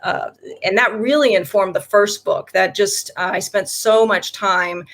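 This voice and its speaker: A woman whose first language is German speaks as an author in a relaxed interview. Her voice has a gravelly, creaky edge, and she rambles along with fillers and false starts. Her pitch sits in the middle range. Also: a little slow. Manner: chatty, many fillers and restarts. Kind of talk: casual interview answers.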